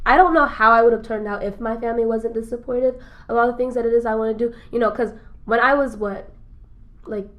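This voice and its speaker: A young woman in her late teens, talking as a guest on a casual podcast. Her voice sits a little high, and she laughs often as she chats in an upbeat way. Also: fairly even intonation, fast, dull audio. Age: young adult. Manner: giggly, chatty, upbeat. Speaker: guest, cosmetics founder.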